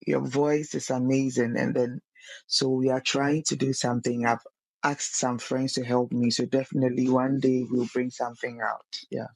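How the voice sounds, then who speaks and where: A woman's voice: a bass voice, level tone, moderate pace, vocal fry, darkish tone, no room sound, poor audio. A young woman, a singer guesting on a casual podcast, earnest and often repeating words as she searches for them.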